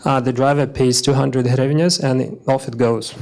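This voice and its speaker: A man's voice: medium pitch, steady tone, moderate pace, darkish tone. A man in his thirties giving businesslike panel answers into a handheld mic in a conference hall, with many fillers and restarts. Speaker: government minister.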